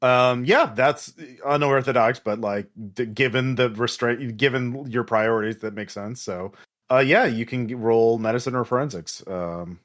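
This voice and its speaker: A man running a tabletop horror game, narrating off the cuff and haltingly as he thinks aloud. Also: medium pitch, fairly bright tone. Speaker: game master.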